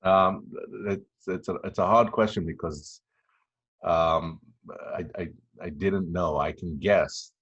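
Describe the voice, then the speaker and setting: A man in his fifties with an extremely deep, husky voice talks in a flat, even tone. He pauses often, restarting sentences as he reflects. The recording sounds dull and lo-fi. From Tanzania. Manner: halting and reflective, many fillers and restarts. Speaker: podcast guest. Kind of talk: casual interview chat.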